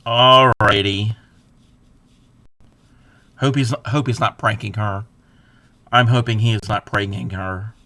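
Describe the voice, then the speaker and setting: A man crocheting on a livestream, counting stitches softly under his breath and chatting casually with viewers. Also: fairly low pitch, fairly even intonation, slow, pauses often, no room sound. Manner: laughs at himself, chats back to viewers.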